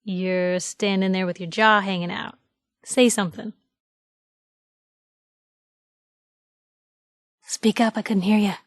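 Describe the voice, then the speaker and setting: A woman's voice: mid-range voice, fairly even intonation, moderate pace, no echo, clean studio sound, natural voice. An actress playing a plucky, outspoken young woman reporter, a video game companion with a wry, folksy, punchy delivery. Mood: feisty, indignant. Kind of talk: video game voice acting, short reactive lines.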